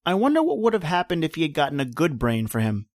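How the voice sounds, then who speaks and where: A man's voice: fairly high pitch, wildly swooping pitch, fast talker, dry recording. Man playing an earnest young assistant, dry sound. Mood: musing, eager. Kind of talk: old-time radio horror drama acting.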